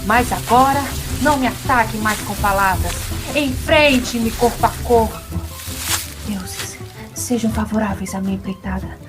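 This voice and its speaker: A woman's voice: a little high, measured pace, close to an everyday voice. A woman playing a brave young warrior prince in an audio drama, speaking in a heroic, declamatory way. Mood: solemn defiance. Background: music.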